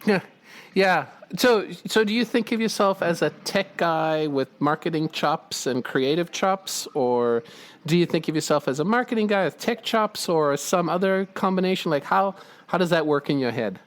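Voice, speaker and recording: Lively-voiced male podcast host. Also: on the high side, normal speed. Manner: friendly and inquisitive, chuckles often. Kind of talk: conversational interview.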